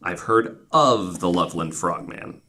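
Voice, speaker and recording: A man's voice: sits low, lively intonation, measured pace, sharp tone. A man hosting a podcast, telling stories in a casual, emphatic way with easy swearing. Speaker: podcast host. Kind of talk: casual storytelling chat. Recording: clearly noisy recording with clicks.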